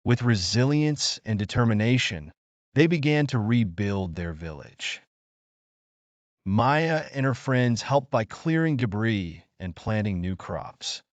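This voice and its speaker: A man narrating a scripted children's story in a measured, earnest storybook manner. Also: fairly low pitch, no echo, highs cut off.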